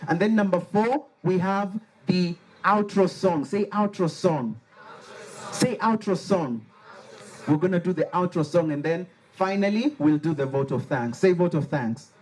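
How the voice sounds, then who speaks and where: Man, Liberian accent, slightly high voice, playful call-and-response workshop leader. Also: a little slow, slight background noise. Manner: animated, playful, has the audience repeat words. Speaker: workshop facilitator. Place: workshop room with a live audience.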